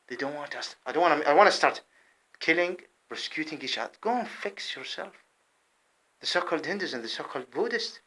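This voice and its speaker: Heated, lively-voiced man ranting. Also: poor audio. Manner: heated, repetitive, swings between loud outbursts and low muttering. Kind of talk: impassioned monologue to camera.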